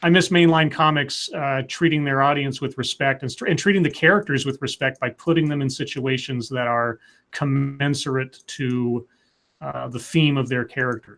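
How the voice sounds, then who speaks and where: A man in casual discussion on a comics show, emphatic and halting as he thinks aloud. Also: medium pitch, fairly bright tone. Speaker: panelist on a comics livestream.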